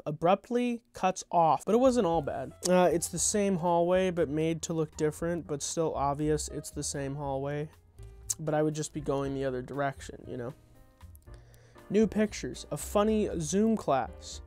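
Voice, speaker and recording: A man's voice: on the high side, normal speed, a little mellow. Wry young man reading over music. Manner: wry, off-the-cuff asides between scripted lines. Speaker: content creator reading own script. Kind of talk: informal table read of a comedy script.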